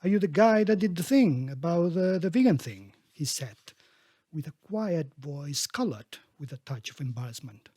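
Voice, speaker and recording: A middle-aged man reading his own book aloud as its author, expressively, with emphatic, deliberate phrasing. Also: fairly high pitch, animated, warm tone, no room sound.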